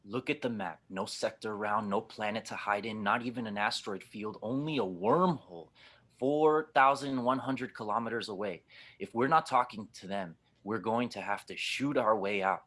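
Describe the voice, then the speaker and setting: A man playing a gruff, skeptical, world-weary spaceship pilot in an audio drama, blunt and sardonic. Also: fairly low pitch, moderate pace, fairly bright tone. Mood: urgent, dry. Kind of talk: audio drama table read.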